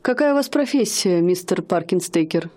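An actress playing a haughty young woman posing as a high-society lady, speaking in an affected, aloof manner in a radio play. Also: lively intonation, moderate pace, warm tone, close to an everyday voice.

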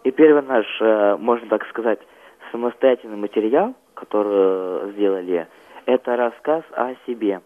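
A teenage male student being interviewed as a radio guest, recounting his experiences haltingly and searching for words. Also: on the low side, narrow, tinny audio.

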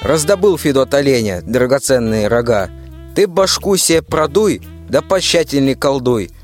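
A man's voice: medium pitch, animated, moderate pace, soft background music, close to an everyday voice. A male performer playing a blunt, impatient, belligerent general who speaks in pushy rhyme in a radio fairy tale. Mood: impatient, threatening. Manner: pushy, blustering rhymed speech.

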